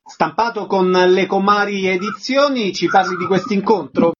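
Higher-voiced male radio host, noisy recording. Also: steady tone, normal speed. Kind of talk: radio interview hosting, prepared introductions. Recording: dull radio audio with clear noise.

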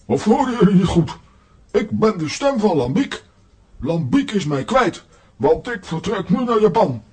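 Kids' audio play, runaway bodiless voice: highish, sassy grumbler, stubbornly honest. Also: male voice, big pitch swings, unhurried, a little mellow. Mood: cheeky, grumbling. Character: cheeky, stubborn disembodied male voice, grumbling and honest. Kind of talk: children's audio drama acting.

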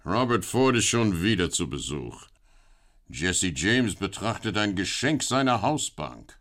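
A man's voice: sits low, sing-song, measured pace, fairly bright tone. A man narrating a scripted radio play with tongue-in-cheek delivery.

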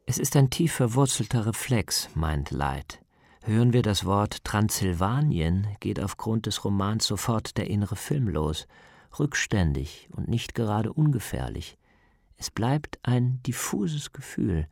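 A man's voice: lowish voice, big pitch swings, measured pace, vocal fry, darkish tone, no echo, clean studio sound. A man, narrating a scripted, atmospheric radio documentary as its reporter.

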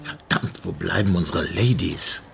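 A middle-aged criminal judge in a German radio play, sardonic and teasing. His pitch moves in a lively way under dry wordplay, with music clearly playing behind him. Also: a little slow, exaggerated voice. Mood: amused. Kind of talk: naturalistic German radio drama acting.

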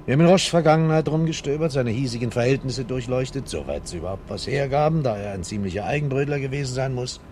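An actor playing an exasperated, sarcastic police inspector with a blustering, scolding manner in a German radio crime drama. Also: male voice, mid-range voice, animated, quick pace, hardly any pauses, a little mellow, lightly acted. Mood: frustrated, brooding. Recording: noisy 1970s radio drama recording.

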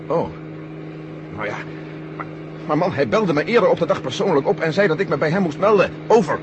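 Casting: man as gruff, blustering army general for radio drama, higher-pitched, commanding, indignant, noisy engine drone. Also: lively intonation, fairly quick, close to an everyday voice. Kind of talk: 1970 Dutch radio drama acting.

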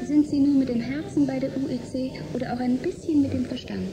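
A young woman playing a cheeky, sharp-tongued nineteen-year-old in a German radio drama, pert and mocking. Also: sits high, fairly even intonation, quick pace, over music. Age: young adult.

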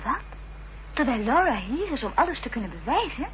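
An actress playing an anxious, protective Victorian gentlewoman with urgent, concerned delivery in a radio drama. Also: high voice, very animated, fairly quick. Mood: worried, urgent. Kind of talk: period radio drama acting. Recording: dull, noisy, humming old radio recording.

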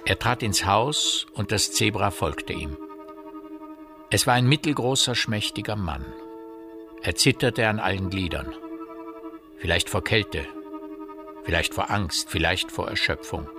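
A man narrating a literary radio drama in a grave, measured manner. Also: lowish voice, expressive. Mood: solemn. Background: synthesizer music.

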